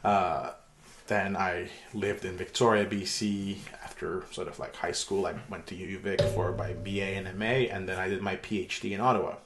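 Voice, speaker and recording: A middle-aged man from Turkey giving a pre-recorded online university lecture in a relaxed, chatty way with many fillers. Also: low voice, normal speed, very noisy. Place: home room. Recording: echoey room.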